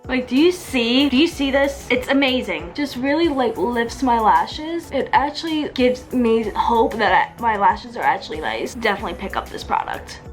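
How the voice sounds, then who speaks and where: Chatty young woman with a bright voice. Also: fairly high pitch, lively intonation, normal speed, few pauses, soft background music. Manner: chatty, upbeat, talks while working. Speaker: beauty vlogger. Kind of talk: casual makeup tutorial. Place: outdoors with wind and traffic.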